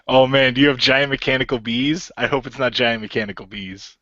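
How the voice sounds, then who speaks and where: A man playing an improvised role-play, goofy and excitable, bantering in character and narrating his actions. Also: mid-range voice, fairly quick, dry recording, muffled recording.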